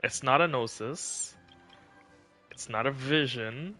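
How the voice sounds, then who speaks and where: A man's voice: medium pitch, takes it slowly, sharp tone, faint music behind. A man chatting casually as a game streamer, musing and rephrasing as he goes. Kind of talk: casual live stream chat.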